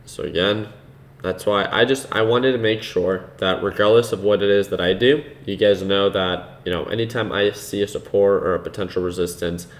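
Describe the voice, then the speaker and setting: A man hosting a live trading stream, chatting casually with viewers in a relaxed, unhurried way, with a soothing voice and plenty of fillers. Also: on the low side. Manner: relaxed and unhurried, laughs easily, many fillers. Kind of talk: casual live-stream commentary, reading chat.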